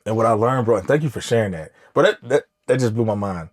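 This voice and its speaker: A man guesting on a podcast, relaxed and slangy, often backing up the host with quick yeps. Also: fairly low pitch, slightly rough. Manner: relaxed, slangy, frequent affirmations. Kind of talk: casual podcast conversation.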